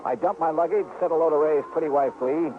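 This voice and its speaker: An actor playing a sharp, skeptical insurance investigator in a brisk, clipped, wry radio detective style. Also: male voice, fairly high pitch, music in the background, natural voice. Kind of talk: 1950s radio detective drama acting with first-person narration. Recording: tinny, noisy old radio recording.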